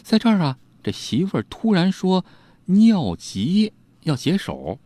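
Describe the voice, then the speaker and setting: A man's voice: high voice, wildly swooping pitch, measured pace, warm tone, no room sound. A man telling an old crime tale in a dramatic, conversational way, with theatrical suspense and folksy asides. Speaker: storyteller.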